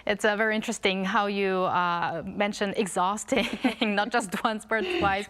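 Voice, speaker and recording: Sex: woman. Role: host. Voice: smooth. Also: medium pitch, a bit bright. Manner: hesitant, many "uh" fillers. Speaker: news show host. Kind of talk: interview questions.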